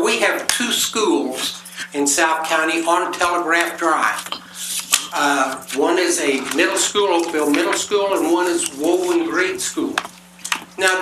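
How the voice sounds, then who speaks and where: Earnest older man in an echoey chamber. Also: on the high side. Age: 70s. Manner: earnest, plainspoken, with frequent uh pauses. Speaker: retired electrician speaking at public comment. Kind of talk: public comment at a council meeting. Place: large council chamber. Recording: echoey chamber with background noise.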